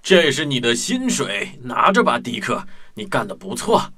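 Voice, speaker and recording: A man's voice: medium pitch, big pitch swings, moderate pace, pushed and bright. A man playing an authoritative but kindly merchant master in a children's radio play, with declarative delivery. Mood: approving.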